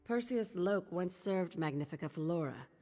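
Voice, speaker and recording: A determined thief leader in a fantasy game. Her voice sits low, guarded and measured. It carries a long reverb with music beneath. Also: animated, warm tone. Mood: measured, cool. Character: determined, guarded female thieves guild leader. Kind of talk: video game voice acting.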